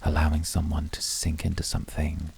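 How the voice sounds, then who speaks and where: A man playing a very deep, gravelly brooding incubus. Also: completely flat delivery, a little hiss or hum, heavily acted. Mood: intimate, seductive. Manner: measured, quietly seductive, intimate. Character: calm, brooding male incubus, subtle seducer. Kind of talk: scripted flirty ASMR roleplay.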